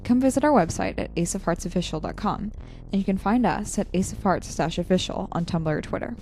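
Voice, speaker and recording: A woman announcer reads scripted credits for a podcast. Her voice is on the low side and crackles with fry. Soft music plays behind her. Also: expressive, fairly quick.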